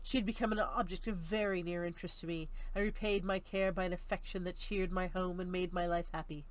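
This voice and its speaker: A woman voicing a grim, bitter old general in an audiobook, gruff, testy and direct. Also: lowish voice, steady tone, brisk, lightly acted, rough recording. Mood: grieving, vengeful. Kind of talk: audiobook character reading.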